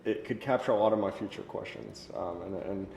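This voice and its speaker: An earnest man hosting a podcast, with a low, mellow voice. He asks long, careful questions and stays respectful of his guest. The recording sounds roomy, with a long reverb tail and a faint background hum. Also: a little fast, gravelly. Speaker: podcast host, interviewer. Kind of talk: casual podcast interview.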